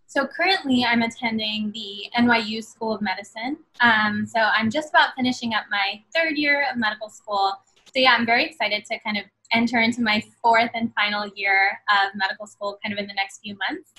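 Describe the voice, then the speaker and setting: Woman in her twenties, from New York City, bright tone, filler-heavy earnest chat, video call. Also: fairly high pitch, a little fast, low-quality recording. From Bangladesh, raised in New York City. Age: 20s. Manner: earnest, many fillers and hedges. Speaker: guest, medical student. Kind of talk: casual interview over video call. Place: small room at home. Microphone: video call webcam.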